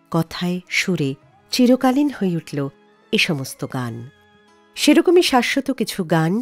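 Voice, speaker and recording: Expressive woman radio host. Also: mid-range voice. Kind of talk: scripted music radio presentation.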